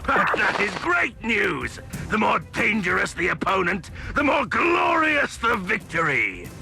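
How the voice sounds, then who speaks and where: A man's voice: sits high, wildly swooping pitch, measured pace, creaky, raised voice, music in the background, full character voice. A man playing a bombastic, zealous war-machine pilot in a video game, pompous and grandiose in his theatrical delivery. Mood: exultant, defiant.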